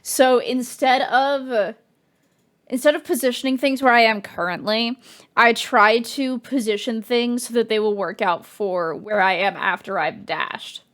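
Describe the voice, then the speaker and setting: A woman's voice: fairly high pitch, steady tone, measured pace, fairly bright tone. A woman streamer chatting casually while playing a video game, rambling and restarting her sentences. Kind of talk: casual gameplay commentary.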